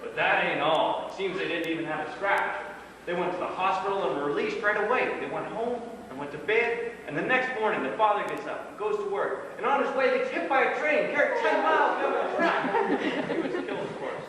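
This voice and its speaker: A man's voice: sits high, animated, a little fast, a crowd in the background. A man acting a folksy, earnest father who rambles like a storyteller in an amateur stage play. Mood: earnest storytelling. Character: folksy father spinning grim storm tales, earnest and nosy. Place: small theatre stage, recorded from the audience. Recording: echoey theatre stage from far off, noisy.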